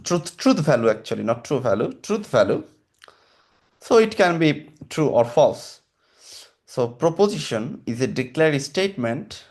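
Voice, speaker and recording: Slow, halting male lecturer. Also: mid-range voice, darkish tone. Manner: repeats and rephrases, halting restarts. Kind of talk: slow lecture explaining slides.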